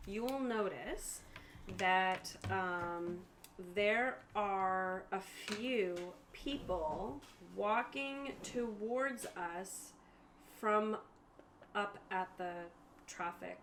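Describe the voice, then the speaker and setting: Bright-voiced woman running a tabletop game. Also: medium pitch, very slow, slight background noise. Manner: animated, laughs readily. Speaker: game master and player in a tabletop game. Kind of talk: casual game narration with rules talk.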